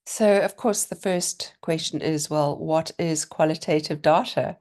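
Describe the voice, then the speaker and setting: A middle-aged woman, a little hoarse, giving an online seminar in a chatty, encouraging way over a video call. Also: low voice, unhurried, darkish tone. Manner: chatty, encouraging, thinking aloud with fillers and restarts. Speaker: lecturer presenting a webinar.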